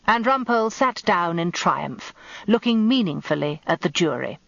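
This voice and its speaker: Older English wife, wry radio-drama narrator, observant and confiding, close dry sound, slightly muffled. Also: female voice, on the low side, sing-song, normal speed, vocal fry, a bit bright, no room sound, heavily acted. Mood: wry, reflective.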